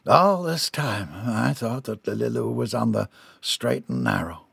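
A man voicing a gruff, indignant wealthy father in a storybook, formal and stern. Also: fairly high pitch, very animated, normal speed, fairly bright tone, no echo, voice pushed up, full character voice. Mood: dejected.

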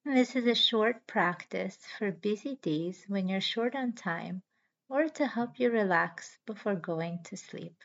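A woman guiding a yoga nidra relaxation as host, with a soothing, measured manner and deliberate pauses. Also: mid-range voice, creaky. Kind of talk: guided relaxation meditation. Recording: slightly dull audio with background music. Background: music.

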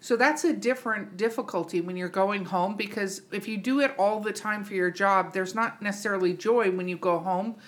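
A woman's voice: medium pitch, brisk, few pauses. A woman hosting a podcast interview in a casual, informal and teasing way. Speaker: podcast host.

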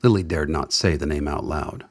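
A man giving dramatic scripted narration for a radio play in a husky voice. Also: very deep voice, moderate pace, no echo.

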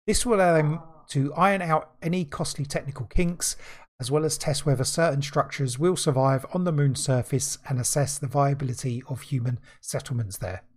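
A man's voice: medium pitch, normal speed. A man co-hosting a podcast, reading a news story aloud and stumbling over words, then chatting casually. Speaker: podcast co-host.

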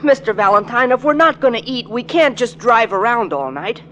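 A woman's voice: a little high, animated, moderate pace, a bit bright, dull audio. A woman playing an eager, earnest young sidekick to a detective in 1940s radio drama style. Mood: worried, insistent. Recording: noisy old radio recording.

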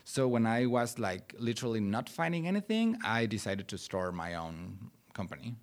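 Animated Mexican man, lowish voice. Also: moderate pace. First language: Spanish. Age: young adult. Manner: lively, animated storyteller with frequent restarts. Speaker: guest. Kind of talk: casual interview chat.

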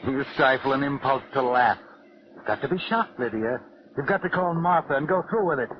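Casting: male actor as knowing, sardonic storyteller for 1940s radio mystery, mid-pitched, dull vintage sound. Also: lively intonation, fairly quick, noisy background, natural voice. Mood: knowing, taunting. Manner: insinuating, suspenseful. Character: sardonic, omniscient mystery narrator taunting the guilty. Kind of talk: 1940s radio drama narration. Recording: muffled old radio with loud music.

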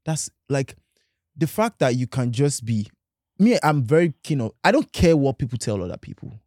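A young man, talking relaxed in a casual panel chat and mixing in pidgin. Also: medium pitch, normal speed, dry recording, clean studio sound.

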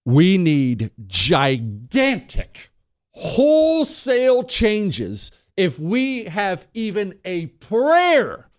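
A man's voice: high-pitched, huge pitch swings, no echo, studio quality. An American man hosting political talk radio, delivering an opinionated monologue in an emphatic, dramatic manner, leaning on rhetorical questions and repetition. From United States. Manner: emphatic, dramatic, slowing down on key words.

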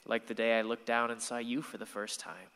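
A man playing a gentle, lovestruck sixteen-year-old boy in a radio play, earnest and tender in his delivery. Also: medium pitch, little pitch movement, brisk, clear, resonant tone, close to an everyday voice. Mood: tender, dreamy. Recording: long reverberant hall sound.

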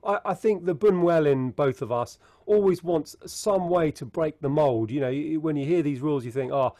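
A man's voice: fairly high pitch, moderate pace, dark tone, dry recording, studio quality. A man co-hosting a podcast, chatting casually, teasing his partner and musing aloud. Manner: playful, eggs on his co-host, reflective asides. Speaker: podcast co-host.

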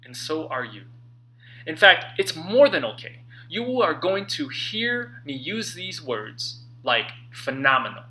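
Male self-help author-narrator: lively swings in pitch, sharp intense tone, emphatic dramatic pauses. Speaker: author reading own book.

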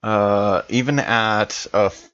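A man's voice: fairly low pitch, steady tone, takes it slowly, a bit bright. A man chatting casually as a player in a tabletop roleplaying game, on slightly dull audio.

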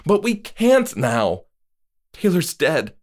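A man voicing Moira, a desperate high-pitched woman. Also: wildly swooping pitch, slow, heavily acted. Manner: pleading, raised and shrill. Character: frightened, defiant older woman, Moira.

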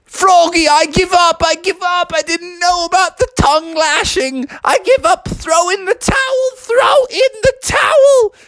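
A man's voice: very high voice, unhurried, few pauses, a bit bright, pitched above the performer's own voice. A man voicing a big, boastful, taunting kangaroo boxer in a children's story. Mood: pleading surrender. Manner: taunting, exclamatory.

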